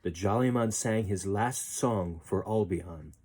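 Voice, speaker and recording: Mellow, husky male storybook narrator. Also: fairly low pitch, a little slow. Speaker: storybook reader. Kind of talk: expressive children's story narration.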